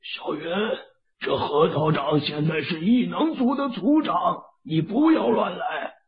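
A man's voice: sits high, lively intonation, unhurried, a crowd in the background. A man playing a stern, protective elderly leader who admonishes with authority in an audio drama, in dull lo-fi sound. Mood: stern warning.

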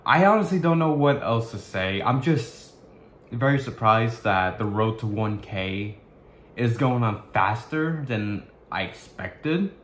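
A young man vlogging to his webcam in a small bedroom, talking in a halting way with many restarts as he searches for words. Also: mid-range voice, animated, reverberant, slight background noise. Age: young adult. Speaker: YouTuber. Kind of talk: casual vlog to camera.

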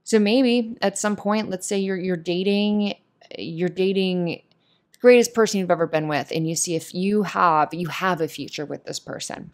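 A woman's voice: on the low side, normal speed. A woman giving casual, comforting advice in a monologue, speaking in an earnest, opinionated and emphatic way. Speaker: voice actor giving advice to a listener.

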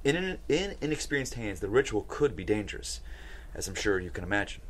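A man acts a dry, insistent bishop in a table-read drama. He talks fast in a fairly low voice, pressing his case persuasively into a close studio mic. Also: sing-song. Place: small podcast studio. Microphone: close studio microphone.

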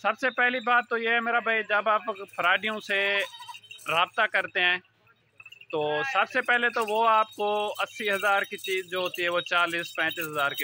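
A man's voice: high voice, noisy background. Earnest man filming a selfie warning. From Pakistan. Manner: earnest, repetitive, keeps addressing the viewer as "my brother". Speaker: goat farm owner and channel host. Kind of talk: selfie-video warning talk to viewers. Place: outdoor goat farm pen, among goats and trees. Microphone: phone front camera at arm's length.

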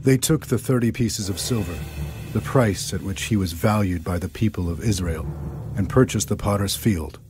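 Man as deep-voiced solemn prophet. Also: normal speed, music in the background, close to an everyday voice. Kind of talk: dramatized audio Bible acting.

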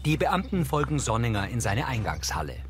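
A man's voice: medium pitch, sing-song, brisk. A man reading scripted scene narration as an audio describer for a television crime show. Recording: music behind the voice.

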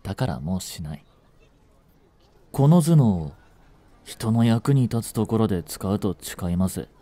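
An actor playing a young genius swindler in a romantic audio drama, lovestruck, jealous and boyishly flustered, speaking politely. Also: male voice, on the low side, very animated, a little slow, mellow. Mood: flustered, earnest. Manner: polite speech, stammering when flustered. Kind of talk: romantic audio drama acting, addressed to the listener.

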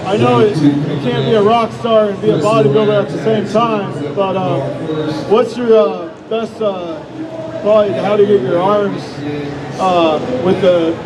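A man's voice: high voice, steady tone, unhurried, over a noisy crowd. A man in the audience asking a long question, hesitant and full of fillers and restarts. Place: large expo hall. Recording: distant voice over loud hall noise.

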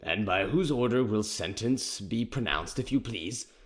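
A man's voice: fairly low pitch, animated. A man voicing a scornful captive king. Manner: scornful, measured and disdainful. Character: proud captive male king, scornful and defiant. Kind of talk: audiobook character reading.

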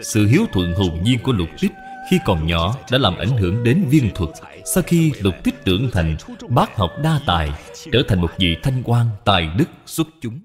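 A man's voice: fairly low pitch, very animated, moderate pace. A man reading scripted storybook narration as the narrator. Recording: long reverb, background music.

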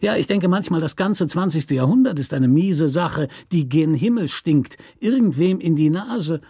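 Male narrator as cynical governor, mock-courteous, emphatic, pitched up, husky, literary audiobook. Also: animated, moderate pace, mellow, no echo, clean studio sound. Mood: condescending, cynical. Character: smooth, cynical Southern state governor, condescendingly courteous.

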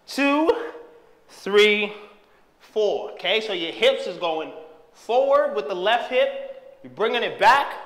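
Energetic young man calling dance moves. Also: sits high. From Haitian background. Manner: energetic, hypes and shouts while dancing. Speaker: dance instructor. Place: empty room with bare walls, indoors. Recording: echoey empty room.

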